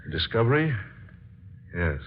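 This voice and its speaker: A grave male scientist speaks in a low, formal, theatrical voice, like a visionary revealing secrets. It is old radio drama, on a dull recording with faint noise. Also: very animated, normal speed. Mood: grave, secretive.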